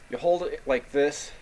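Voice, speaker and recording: A man's voice: on the high side, darkish tone, slight background noise, poor audio. A man hosting a gear review, talking casually and thinking aloud as he rambles through a hands-on demonstration. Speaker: host of a radio gear review channel.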